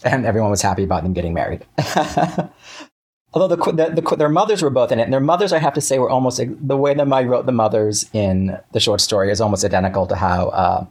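A man speaking as an interview guest, telling things casually in a halting, self-correcting, chatty way. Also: medium pitch, a little mellow.

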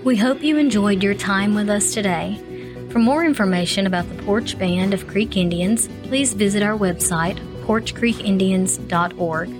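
A woman with a mid-range voice speaks as the host of an interview podcast. Her delivery is conversational, and music plays clearly behind her voice. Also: medium pitch, moderate pace.

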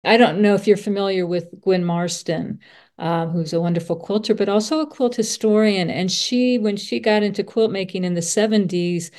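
Female interviewer, average pitch, casual conversational delivery, effusive, circles around and rewords her points. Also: normal speed, a little mellow. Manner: effusive, rambling, rephrases as she goes. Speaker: podcast host and interviewer.

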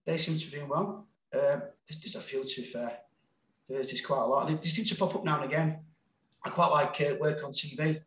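A man's voice: on the high side, steady tone, fairly quick, fairly bright tone, echoey room, low-quality recording. A man with a Sheffield accent, a guest on a podcast, chatting casually and self-deprecatingly, with lots of laughter and restarts. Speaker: guest, music label founder.

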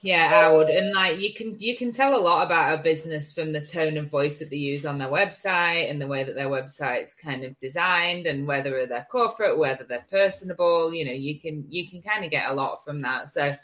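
A woman's voice: low-pitched, fairly quick, hardly any pauses, fairly bright tone. A woman hosting a marketing show as a casual chat, talking in an informal, wry way. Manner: informal, wry, with mild swearing. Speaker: host of a marketing agency live show.

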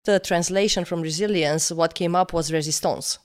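A woman's voice: fairly low pitch, steady tone, moderate pace, clear, resonant tone. A woman whose first language is Romanian, interviewing a guest with curious, long-winded questions.

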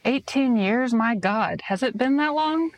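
A woman's voice: fairly high pitch, expressive, unhurried, no echo. A woman in her thirties hosting a podcast, reading a scripted intro and then chatting with enthusiasm. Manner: upbeat, enthusiastic. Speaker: podcast host.

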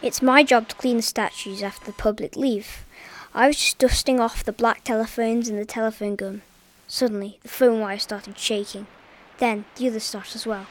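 Higher-voiced woman hosting community radio. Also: fairly even intonation, normal speed. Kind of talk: reading a spooky story aloud.

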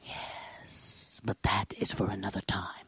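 Slow, sinister villain's lieutenant. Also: male voice, fairly low pitch, little pitch movement, a bit bright, husky, dry recording, whispered, full character voice. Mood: quietly menacing. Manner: slow, deliberate, menacing. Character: sinister scheming male servant of a dark lord. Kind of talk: audio drama acting.

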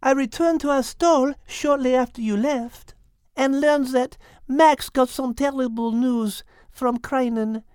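A man voicing a gentle, caring female cat in a storybook reading, speaking softly and earnestly. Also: extremely high pitch, fairly even intonation, clear, resonant tone, no room sound, studio quality, pitched above the performer's own voice. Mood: concerned. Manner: soft, slow and earnest, sprinkled with French words.

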